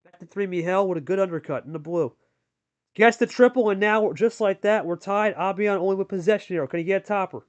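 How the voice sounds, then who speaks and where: A man's voice: sits high, fairly quick, lo-fi sound. A man giving live sports-style commentary on a card game as host, talking colloquially and addressing players like buddies.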